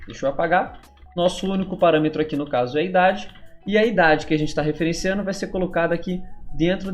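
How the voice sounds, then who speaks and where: A Brazilian man in his twenties teaching a casual coding tutorial, chatty and relaxed, checking in often with his viewers. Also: on the high side. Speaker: programming instructor.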